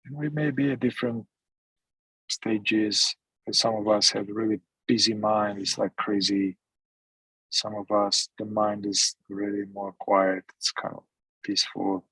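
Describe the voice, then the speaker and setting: Male meditation guide, husky and very even in pitch, gentle phrasing with long pauses. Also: no room sound, poor audio. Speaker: meditation teacher. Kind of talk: guided meditation, mindfulness instruction.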